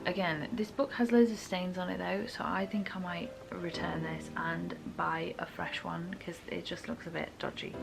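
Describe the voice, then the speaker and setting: A young woman talks casually about books to her viewers. Her voice sits fairly low and has a clear creak, with music playing behind it. She is chatty and informal, often hedging her opinions. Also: rough recording. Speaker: book reviewer.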